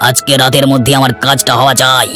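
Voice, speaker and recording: A man's voice: mid-range voice, little pitch movement, quick pace, bright, forceful tone, faint music behind, close to an everyday voice. A man voicing a menacing, bullying village schemer in a cartoon story, domineering and threatening. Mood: angry threatening.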